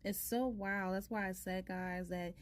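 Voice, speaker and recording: A woman in her thirties with a soft voice, a tarot reader chatting casually and ramblingly with her viewers. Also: medium pitch, fairly even intonation, unhurried. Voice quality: soft-spoken. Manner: rambling, many fillers. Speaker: tarot reader, channel host.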